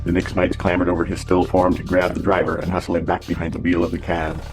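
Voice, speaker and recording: A man reading scripted narration for a pulp adventure serial in a measured, dramatic way. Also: low-pitched, completely flat delivery. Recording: loud music behind narration.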